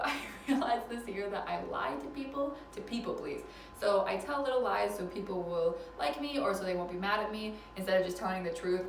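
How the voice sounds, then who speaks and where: A woman's voice: medium pitch, far from the mic. A woman in her twenties rattles through life advice in a casual vlog. She laughs often and pokes fun at herself. Her voice carries the echo of a room, with the mic set back and a faint hum of noise behind. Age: 20s. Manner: chatty, laughs often, self-deprecating. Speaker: vlogger. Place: indoors at a relative's house.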